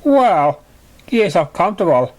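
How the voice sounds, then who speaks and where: Man as a friendly mare, raised pitch, encouraging, welcoming storyteller, storybook. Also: big pitch swings, moderate pace, a little mellow, rough recording.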